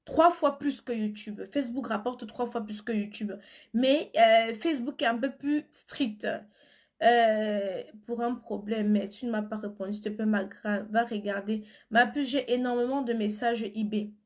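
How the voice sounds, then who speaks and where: A woman's voice: a little high, measured pace. A woman with a cold and flu chatting on a live stream from her phone, answering viewers' comments and turning blunt when she insists on something. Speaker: beauty seller on a live stream. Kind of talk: casual live stream chat.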